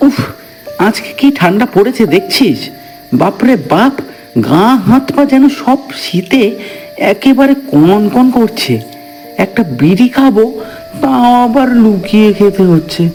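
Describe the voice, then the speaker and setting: Horror cartoon: jittery young male cousin, acted by a man, very high pitch, music underneath. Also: expressive, measured pace, a little mellow, low-quality recording. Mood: shivering, furtive. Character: nervous younger village cousin sneaking a smoke at night. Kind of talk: Bengali horror cartoon dialogue.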